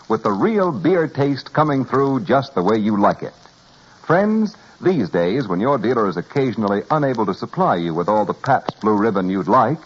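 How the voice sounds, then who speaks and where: A man's voice: medium pitch, slightly rough. A man reading a scripted 1940s radio advertisement with an emphatic salesman's delivery. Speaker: commercial announcer. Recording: muffled vintage radio broadcast.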